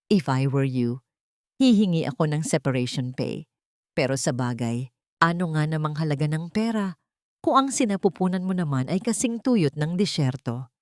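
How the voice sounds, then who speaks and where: A woman's voice: low-pitched, a little mellow, no echo. Lady doing a nasty mistress in a radio drama, sickly sweet n sneering, talks down to people, dry sound. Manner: syrupy sweet, sneering, condescending. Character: spiteful, mocking young mistress, sweetly venomous rival.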